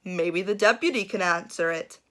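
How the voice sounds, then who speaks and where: A woman voicing a child's mom and dad in a storybook, gently probing in a pointed, knowing way. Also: on the low side, moderate pace. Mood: knowing, teasing.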